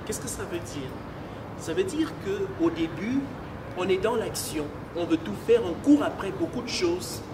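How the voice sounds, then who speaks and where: A priest, a middle-aged man, speaks slowly and earnestly in a fairly high voice. He sits back from the mic outdoors, and loud traffic noise runs under his reflective words. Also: animated, distant mic. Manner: slow, earnest and meditative, building in intensity. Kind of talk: reflective personal testimony. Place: outdoors by a cathedral forecourt.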